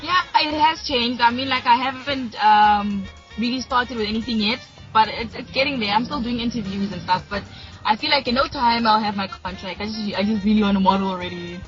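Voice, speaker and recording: A woman's voice: on the high side, a little fast, a bit bright. A young woman in her twenties from Mozambique, a native Shangana speaker, talking eagerly and casually in an interview. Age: 20s. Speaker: reality show contestant, guest. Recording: dull lo-fi audio with faint music.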